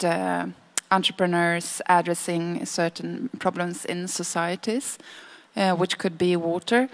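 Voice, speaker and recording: Slow-speaking woman reporting back. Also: lowish voice. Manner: halting, frequent pauses. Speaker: group spokesperson reporting back. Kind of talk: impromptu roundtable readout.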